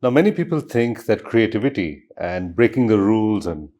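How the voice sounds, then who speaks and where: A man with an Indian accent hosting a podcast, reading a scripted introduction and asking measured, articulate questions. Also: on the low side, animated, dark tone. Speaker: podcast host.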